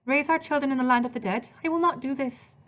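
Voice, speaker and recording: A woman's voice: high voice, fairly even intonation, quick pace, fairly bright tone, voice pushed up. A woman storyteller voicing a loving, resolute mother with an Italian accent and a tender, firm manner. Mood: firm refusal.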